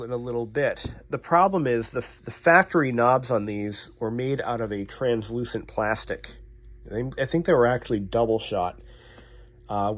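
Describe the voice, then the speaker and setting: A man talking casually as a repair technician hosting a vlog, explaining things in an informal, blunt, self-deprecating way. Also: mid-range voice. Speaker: radio repair technician and channel host.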